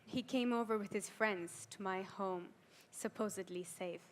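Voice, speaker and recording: Slow, anguished teenage girl, acted by a woman. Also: mid-range voice, close to an everyday voice. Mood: fearful, bitter. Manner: slow, deliberate, with heavy pauses and swelling emphasis. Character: frightened, ashamed adolescent madrasa girl, quietly anguished. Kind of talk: dramatic stage monologue.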